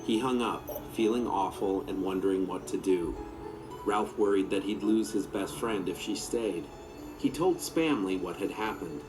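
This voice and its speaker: A man's voice: low-pitched, normal speed, over music, rough recording. A man reading a children's story aloud as its narrator.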